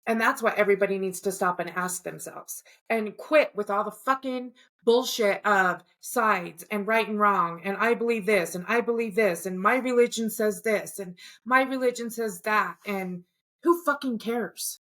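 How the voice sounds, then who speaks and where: A woman co-hosting a casual podcast, her voice bright and cutting. She builds her points through repeated questions and lists. She swears bluntly and speaks with heated conviction. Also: medium pitch, normal speed. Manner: impassioned, ranting, with blunt swearing. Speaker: podcast co-host.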